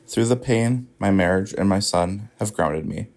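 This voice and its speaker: Solemn low-voiced man, gravelly. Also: moderate pace, a little mellow. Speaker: listener sending a voice message. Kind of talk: recorded listener message.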